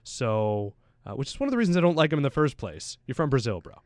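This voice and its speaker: A man's voice: mid-range voice, big pitch swings, fast talker, darkish tone, no echo. Chatty man talking soccer, dry close sound. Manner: chatty, anecdotal, slangy. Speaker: soccer pundit on a talk show. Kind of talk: casual sports discussion.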